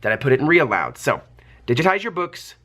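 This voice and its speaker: A man with a slightly hoarse, under-the-weather voice, talking casually in a vlog as a teacher sharing tips in an easygoing, explanatory way. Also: medium pitch, big pitch swings, brisk, bright, forceful tone. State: a little congested and hoarse from coughing. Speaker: teacher and vlogger.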